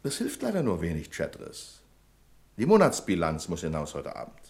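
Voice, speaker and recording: An actor playing a pedantic, imperious bank manager in a German radio play, curt and condescending in manner. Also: male voice, low-pitched, wildly swooping pitch, fairly quick, darkish tone, full character voice. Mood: stern, reproachful. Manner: curt, condescending, fault-finding.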